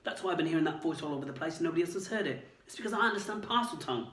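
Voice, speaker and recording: A man voicing an earnest, quick-thinking teenage schoolboy who talks urgently and eagerly. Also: fairly high pitch, expressive, a bit bright, voice pushed up. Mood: excited. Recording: roomy, distant mic.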